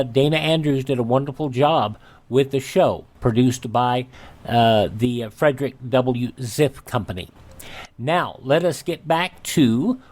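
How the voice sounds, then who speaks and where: A man hosting a radio show, giving informal background commentary in a halting, chatty way. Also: medium pitch, darkish tone.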